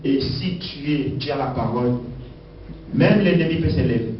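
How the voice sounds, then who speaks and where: A man from Africa preaching a fervent Pentecostal sermon with call and response, swinging between loud exclamations and quiet asides. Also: mid-range voice, normal speed, slight background noise. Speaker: pastor. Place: church hall during a worship service. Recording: echoey hall, distant dull mic, congregation.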